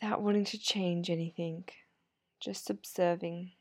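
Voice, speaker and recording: A woman guiding a sleep meditation in a soothing, unhurried, gently instructive manner. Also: fairly low pitch, steady tone, no echo, studio quality. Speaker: yoga nidra meditation guide. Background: soft sound healing instrumental music.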